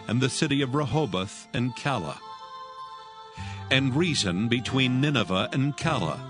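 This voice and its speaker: A man narrating scripture from a script in a measured, solemn manner. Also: mid-range voice. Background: music.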